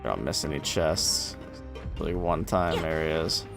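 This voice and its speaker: A man's voice: very deep voice, measured pace, gravelly, over music. A man giving casual livestream game commentary, with wry, muttered asides to himself. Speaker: game streamer.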